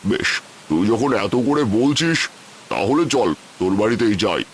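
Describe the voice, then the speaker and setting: A man voicing a haughty, suspicious rich city gentleman in a cartoon moral tale, condescending and complaining. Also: mid-range voice, big pitch swings, normal speed, slight background noise. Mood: reluctant.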